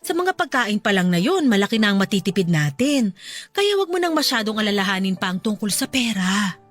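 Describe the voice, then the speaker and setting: An actress with a Filipino accent playing a loving, reassuring middle-aged farmer's wife in a radio drama, speaking in a soothing, affectionate way. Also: female voice, medium pitch, very animated, fairly quick. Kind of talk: Tagalog radio drama acting.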